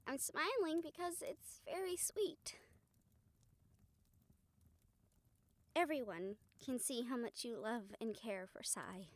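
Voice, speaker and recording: A woman playing a gentle, supportive rehabilitator comforting a friend in an intimate audio roleplay, speaking softly, earnestly and a little hesitantly. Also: fairly high pitch, animated, unhurried, no room sound. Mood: tender, amused. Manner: soft, hesitant, reassuring, earnest.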